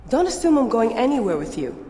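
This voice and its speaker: A woman's voice: a little high, very animated, a little fast, mellow. A woman playing a defiant, guarded rogue employee in a video game cinematic, speaking curtly. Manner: curt, defiant. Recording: echoey hall, faint ambient noise.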